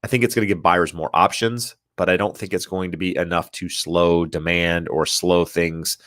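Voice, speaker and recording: A man's voice: low-pitched, fairly even intonation, moderate pace, a bit bright, dry recording, clean studio sound. A man hosting a casual live question-and-answer stream, stuffy and nasal from allergies, hesitant with many fillers and restarts as he reads out viewer questions. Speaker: real estate livestream host.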